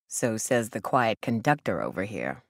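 A woman's voice: extremely low pitch, very animated, normal speed, creaky, dry recording, heavily acted. A woman voice actor playing a guarded, blunt, protective young survivor of a zombie apocalypse in a video game, with a dry delivery. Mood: guarded, wary.

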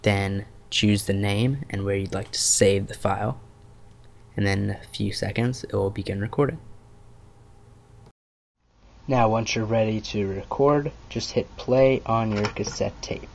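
A man's voice: fairly low pitch, measured pace, creaky. A man narrating a step-by-step computer tutorial as its host.

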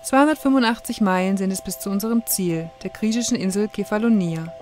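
A woman's voice: medium pitch, fast, a little mellow. A woman reading a scripted travel narration for a vlog. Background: music.

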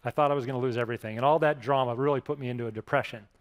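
A man's voice: medium pitch, steady tone, very fast, clean tone. A man in his forties with an American accent, giving a conversational keynote speech with self-deprecating stories and frequent restarts, on a headset mic in front of a live audience. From Pittsburgh, USA. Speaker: keynote speaker. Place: large conference stage with audience. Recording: long hall reverb from stage.

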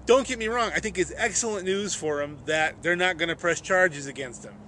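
A man's voice: high voice, a little fast, bright, forceful tone. A middle-aged man giving conversational, emphatic sports commentary to a camera in an open field. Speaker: vlogger. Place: open grassy field outdoors. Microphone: camera at a short distance. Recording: outdoor camera audio with noise.

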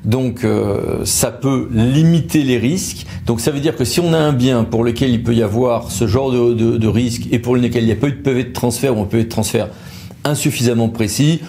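A middle-aged man gives a conversational legal explainer. His voice is mellow and relaxed, at an ordinary male pitch. There is faint noise behind him. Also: a little fast, vocal fry. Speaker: lawyer presenting an explainer video. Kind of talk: explanatory legal talk to camera, semi-improvised.

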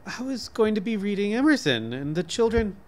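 A man reading the part of a reluctant, mildly put-upon bookish father, gentle and resigned. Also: high voice, very animated, a little fast, pitched above the performer's own voice. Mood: disappointed, resigned.

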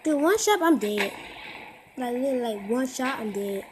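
A teenager giving casual, excitable commentary while playing a mobile game, repeating short phrases and calling out to other players. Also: mid-range voice, normal speed, noisy background. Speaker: gamer. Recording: game music loud behind voice.

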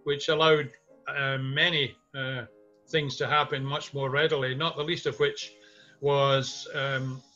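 A man's voice: mid-range voice, little pitch movement, measured pace, bright, forceful tone. An older man from Scotland with a Scottish accent, reminiscing thoughtfully and hesitantly in an interview over a video call. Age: 60s or older. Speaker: interviewee, community theatre music director. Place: small home room indoors. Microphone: webcam video call. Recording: muffled video call audio, music underneath.

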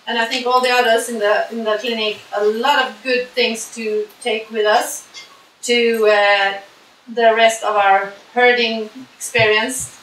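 A woman's voice: fairly high pitch, steady tone, a little slow, a bit bright. A woman in her forties with a Swedish accent, speaking to camera about a clinic she organized, with hesitant phrasing and frequent restarts. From Sweden. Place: large barn with sheep, indoors. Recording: echoey barn with faint hiss.

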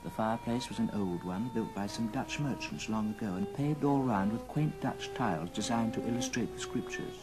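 A man's voice: fairly low pitch, normal speed, hardly any pauses, rough recording. Male radio drama narrator over music.

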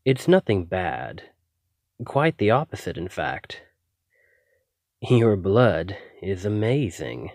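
A man's voice: deep voice, sing-song, vocal fry, a little mellow, no echo, studio quality, heavily acted. A man playing a polite, coy, obsessive vampire who poses as a lab technician, in an ASMR roleplay spoken to the listener. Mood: reassuring, eager. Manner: polite, coy, measured, theatrical.

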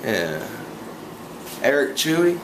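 A young man making a prank phone call from a home kitchen at night, talking casually into a phone held close, teasing and smooth-talking with plenty of slang. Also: medium pitch, very animated, takes it slowly. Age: young adult. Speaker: prank caller. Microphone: phone held close to mouth, camera close by. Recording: noisy home recording.

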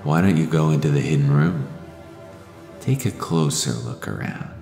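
Actor as very deep, sly, sinister stalker. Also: male voice, lively intonation, moderate pace, vocal fry, heavily acted. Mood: ominous. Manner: sly, teasing, ominous. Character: sinister, bloodthirsty male stalker, slyly playful. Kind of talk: audio drama dialogue and narration. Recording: echoey long reverb under loud music.

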